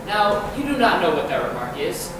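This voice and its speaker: A man's voice: high voice, big pitch swings, moderate pace. A man in a reader's theatre play, voicing a solemn stranger who reads out as a letter-writer. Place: drama stage, recorded from a distance. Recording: echoey, noisy stage recording from far off.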